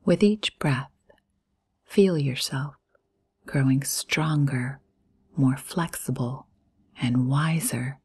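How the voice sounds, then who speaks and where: A low-pitched woman leads a guided meditation in a breathy, near-whispered voice. She speaks very slowly and soothingly, leaving generous pauses between short phrases. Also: a little mellow.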